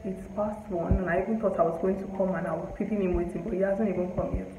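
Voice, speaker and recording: A woman's voice: on the low side, fast talker, noisy background. A young Nigerian woman with a Nigerian accent chats casually to a handheld camera, thinking aloud with plenty of fillers as she walks through a small, bare apartment. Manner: relaxed, chatty, thinking aloud with many fillers. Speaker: vlogger. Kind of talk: casual vlog chat to camera. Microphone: handheld camera close to face. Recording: echoey empty rooms with background music.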